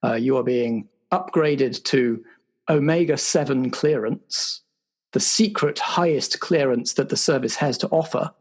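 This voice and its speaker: A man's voice: medium pitch, measured pace, natural voice. A man playing an awkward, apologetic intelligence agency official who stammers officiously through a debrief in an improvised roleplay show. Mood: formal, halting praise. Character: awkward, apologetic male spy agency bureaucrat giving a debrief.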